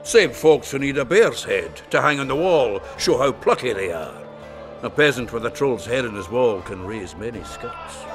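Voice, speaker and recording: A man's voice: mid-range voice, lively intonation, moderate pace, over music, close to an everyday voice. An actor playing a bawdy, good-humoured fantasy townsman in a video game, with an earthy, wry, chatty manner. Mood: wry, jovial. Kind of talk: video game character acting.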